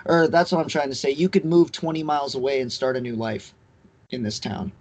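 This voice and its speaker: A man's voice: medium pitch, steady tone, a little fast, darkish tone. A man co-hosting a remote podcast in casual chat, laid-back and swearing often. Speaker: podcast co-host. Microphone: remote call mic.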